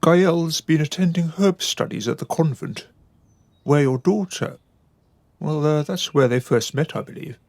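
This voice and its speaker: Gravelly, proper upper-class father. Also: on the high side, measured pace, a little mellow, dry recording. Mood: hesitant. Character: proper, wary upper-class father guarding his household. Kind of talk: radio drama acting.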